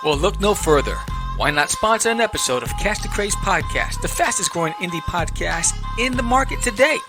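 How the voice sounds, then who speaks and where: Female announcer, scripted promo, very low voice, loud backing music. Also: expressive, a little fast. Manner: upbeat sales pitch.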